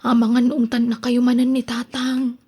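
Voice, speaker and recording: A woman's voice: a little high, completely flat delivery, moderate pace, dark tone, slight background noise, natural voice. A woman with a Filipino accent playing a regretful young single mother in a radio drama, plaintive and hesitant. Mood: worried, remorseful. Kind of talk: Ilocano radio drama acting.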